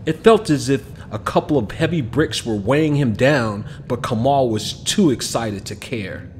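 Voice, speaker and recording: A man reading a children's picture book aloud as an expressive scripted narration, with music behind him. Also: medium pitch, moderate pace, a little hiss or hum. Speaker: storybook narrator. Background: background music.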